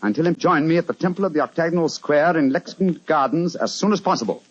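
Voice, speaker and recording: An actor playing a brisk, commanding English gentleman detective in an old-time radio drama, giving authoritative orders. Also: on the high side, animated. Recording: dull old radio recording.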